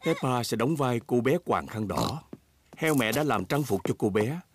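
A man as mid-pitched cartoon storybook narrator. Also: lively intonation, normal speed, heavy background noise, lightly acted. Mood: calm, explanatory. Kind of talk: scripted narration, dubbed children's cartoon.

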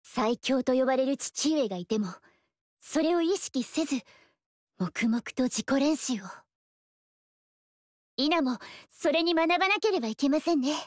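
An actress voicing a polite, eager young woman archer in a video game, talking in a soft, earnest way. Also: high-pitched, expressive, a little slow, long pauses, no echo, clean studio sound. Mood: earnest gentle. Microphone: studio mic, dry.

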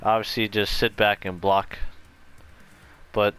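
Rambling low-voiced man explaining gameplay. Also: level tone, slow, fairly bright tone, a little hiss or hum. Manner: rambling, self-correcting. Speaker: video game tutorial creator. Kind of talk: casual unscripted gameplay explanation.

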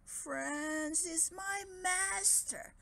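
A woman voicing a meek, miserable male dragon in a storybook, moaning and pleading in drawn-out wails. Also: extremely high pitch, vocal fry, pushed and bright, pitched above the performer's own voice, heavily acted.

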